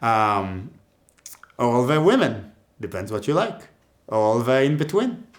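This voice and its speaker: A man's voice: medium pitch, big pitch swings, measured pace. A man with an Israeli accent teaching music, talking casually and joking as he gives advice. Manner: chatty and joking, with many asides. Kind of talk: casual teaching talk to camera.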